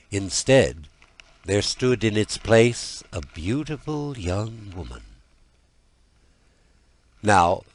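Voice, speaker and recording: Deep-voiced, expressive male storyteller. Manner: dramatic, deliberate storytelling. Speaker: audiobook narrator. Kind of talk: scripted fairy-tale narration.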